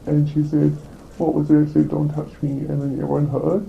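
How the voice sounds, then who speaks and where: A man speaks haltingly, stopping often and restarting his sentences, in a heavily gravelly voice pitched a little high. The recording sounds dull and lo-fi, with faint noise behind him. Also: completely flat delivery. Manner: halting, hesitant, with many fillers and restarts. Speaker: employee reporting a complaint. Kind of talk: recorded workplace meeting.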